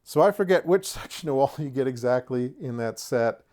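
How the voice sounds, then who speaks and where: A man's voice: mid-range voice, animated, a little fast, darkish tone. Man casually presenting a product showcase. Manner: chatty, folksy, lightly humorous asides. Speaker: host of a tabletop wargaming terrain review.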